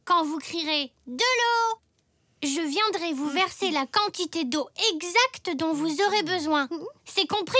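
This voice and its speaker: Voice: very high voice, animated, unhurried, sharp tone, no room sound, studio quality, full character voice. A performer voicing an eager, earnest little zebra child in a French cartoon, with an enthusiastic, childlike delivery. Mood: earnest, confident.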